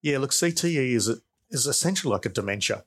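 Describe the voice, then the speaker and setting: A man's voice: mid-range voice, expressive, fast talker. A middle-aged man with an Australian accent, explaining things informally as an expert guest in an interview. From Perth, Australia. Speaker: expert guest, professor and researcher.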